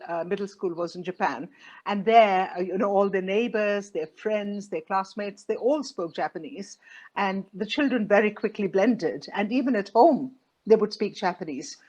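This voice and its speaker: A middle-aged woman from India speaks with an Indian accent as an interview guest. She talks thoughtfully at a medium pitch, often pausing on ums and uhs. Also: darkish tone, lo-fi sound. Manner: thoughtful, many fillers.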